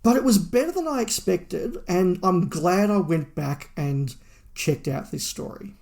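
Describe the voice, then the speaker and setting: Gravelly man chatting on a review podcast. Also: high voice, expressive, unhurried, darkish tone. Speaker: podcast host. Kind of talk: casual review discussion.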